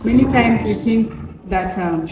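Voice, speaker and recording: A woman's voice: a little high, slow. A woman with a Ugandan accent explaining things conversationally as a counselor guest, in short, broken phrases. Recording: echoey room with background noise.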